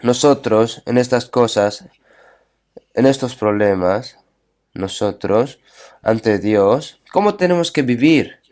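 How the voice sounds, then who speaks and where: A male preacher delivers a sermon at a middle pitch. He mixes scripture readings with retold stories. He keeps checking in with his listeners through short asides and asks them rhetorical questions. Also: animated, takes it slowly, a little mellow.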